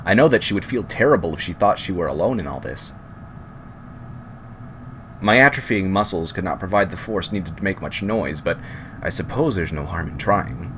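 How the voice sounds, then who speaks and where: A man reading a first-person scary story aloud in a measured, wry, unhurried way. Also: low voice, lively intonation, fairly bright tone, slight background noise. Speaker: horror story reader. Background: background music.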